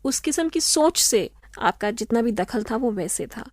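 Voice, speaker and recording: A woman law professor answering interview questions in a measured, explanatory way, slipping in English phrases. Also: on the high side, lively intonation, a little mellow, no echo, clean studio sound. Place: radio studio.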